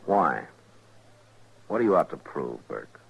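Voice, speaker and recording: Deep, husky western marshal. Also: male voice, very animated, full character voice. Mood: challenging. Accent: American. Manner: measured, terse, quietly challenging. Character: weary, fair-minded frontier marshal, adult man. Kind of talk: old-time radio western drama acting. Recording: muffled old radio recording.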